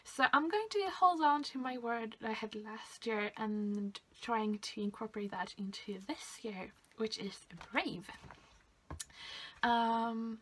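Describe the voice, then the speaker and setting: A woman's voice: on the high side, takes it slowly, fairly bright tone, low-quality recording. A young woman from Sweden with a Swedish accent, chatting casually and hesitantly through a craft album, with many fillers. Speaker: craft vlogger. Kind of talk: casual show-and-tell.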